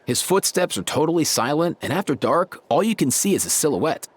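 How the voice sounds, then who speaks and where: A man voicing an easygoing young surfer-type guy in a video game, slangy and relaxed. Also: a little high, a little fast, breathy edge. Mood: laid-back.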